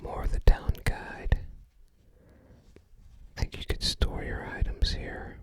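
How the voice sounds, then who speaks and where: Slow, whispering ASMR host. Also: no echo. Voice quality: breathy, unvoiced whisper. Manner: slow, murmuring in short fragments, musing aloud. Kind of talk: ASMR, casual page-by-page commentary on a book.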